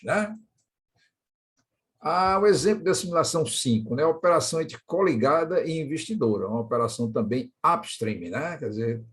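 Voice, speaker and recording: Male Brazilian lecturer, medium pitch, didactic, explanatory delivery with frequent checking tags. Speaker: accounting lecturer. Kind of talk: explanatory lecture, working through examples.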